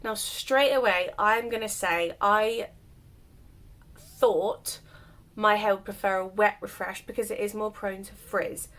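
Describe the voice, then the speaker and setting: A woman's voice: mid-range voice, fairly even intonation, a little slow, a bit bright. A woman chatting casually while she demonstrates styling her wavy hair, her voice just recovered from illness. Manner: chatty, self-deprecating, explains while doing. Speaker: hair vlogger. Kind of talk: casual hair tutorial chat.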